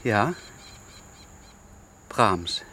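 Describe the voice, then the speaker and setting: An actor playing a pedantic, easily exasperated traveller in a German radio drama, wordy and theatrically bewildered. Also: male voice, fairly low pitch, lively intonation, very slow, sharp tone, a little hiss or hum, heavily acted. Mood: bewildered, precise.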